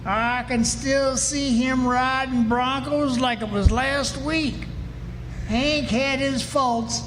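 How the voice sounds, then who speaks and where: A man plays an earnest, rattled frontier rancher in an old-time western radio drama. His very high voice takes its time with folksy, emphatic phrases, ringing in a hall with some background noise. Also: fairly even intonation, slow, fairly bright tone, full character voice. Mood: frustrated, wistful. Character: earnest, rattled male frontier rancher, stubborn and plain-spoken. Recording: noisy echoey hall, live stage performance.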